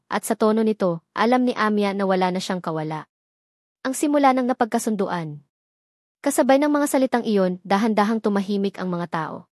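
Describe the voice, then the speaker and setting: A woman's voice: medium pitch, no room sound. Woman narrating a romance drama, dry. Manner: steady, dramatic storytelling. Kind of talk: scripted narration of a romance story.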